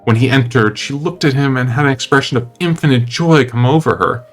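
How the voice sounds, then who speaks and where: A man narrates a classic novel as a dramatic audiobook. His voice sits at a middle pitch, and instrumental music plays behind him. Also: fairly quick. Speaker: narrator reading a novel aloud. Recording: music under the voice.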